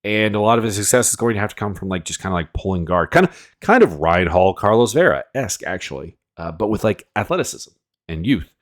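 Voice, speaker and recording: A man's voice: fairly low pitch, very animated, a little fast. A man with a cold, sniffling as he rambles through casual, opinionated fight predictions full of fillers. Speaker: MMA analyst host.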